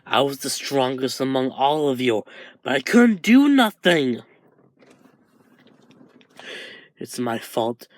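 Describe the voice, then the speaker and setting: A man playing a guilt-ridden young brother pleads and blames himself in a fan story. His voice climbs higher and strains as it breaks. The sound is dry and close. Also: fairly even intonation, unhurried, gravelly, fairly bright tone, no room sound. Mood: anguished. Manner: pleading, rising in pitch, breaking.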